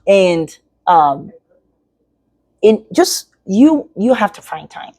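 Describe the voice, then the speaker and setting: A woman's voice: mid-range voice, sing-song, takes it slowly, darkish tone, dry recording. A middle-aged woman from Nigeria whose first language is Yoruba, speaking as an educator guest in an interview and giving earnest advice to parents. She repeats her points for emphasis.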